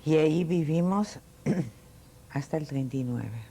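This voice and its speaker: A woman in her late sixties, born in Italy, telling her life story in an oral history interview, reflective and unhurried with frequent fillers. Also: deep voice, animated, dark tone, a little hiss or hum. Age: 60s.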